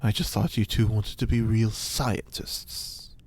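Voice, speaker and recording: A man's voice: lowish voice, fairly even intonation, measured pace. An actor playing an eccentric, pompous male scientist who lectures grandly and theatrically in an audio drama. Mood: lecturing. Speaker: reporter's informant.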